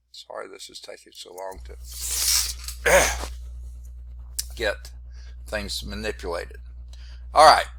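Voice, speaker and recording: A male math instructor with a hoarse, congested voice that turns gravelly and creaky. His tone is bright and forward, and he rambles on about his screen setup with self-deprecating asides. He comes through a computer mic on a video call. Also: lowish voice. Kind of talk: online class lecture.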